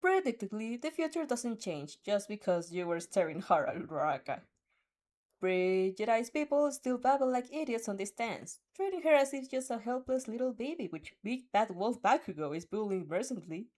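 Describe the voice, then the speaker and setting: Expressive woman reading fan fiction aloud. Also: on the high side, moderate pace. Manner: animated, stumbles over words. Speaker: fan fiction reader and dubber. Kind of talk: expressive storytelling with off-script asides.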